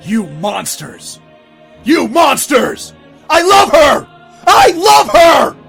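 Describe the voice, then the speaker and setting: A man's voice: very high-pitched, huge pitch swings, extremely slow, vocal fry, a bit bright, full character voice. A man voicing an aggrieved, lovestruck unicorn stallion who defies royal law, formal and impassioned, in a fan audio drama. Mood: anguished rage. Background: music.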